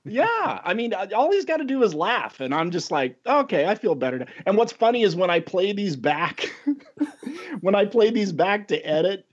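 A man's voice: high voice, big pitch swings, moderate pace, slightly rough. A middle-aged American man hosting a casual podcast chat, rambling and chuckling, a little self-deprecating. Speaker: podcast host. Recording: dull lo-fi audio.

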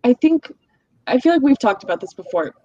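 A woman's voice: sits high, sing-song, a little fast, dark tone, dry recording. A young adult woman from the US, whose first language is Russian, talking reflectively in a casual podcast chat with lots of fillers. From United States. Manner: reflective, uses many fillers like "like" and "um". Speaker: guest. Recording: dull, dry, lo-fi sound.

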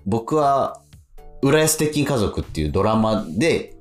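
A man's voice: medium pitch, big pitch swings, a little slow. A man hosting a radio show in casual chat, talking in an encouraging way with frequent interjections. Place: radio studio. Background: music.

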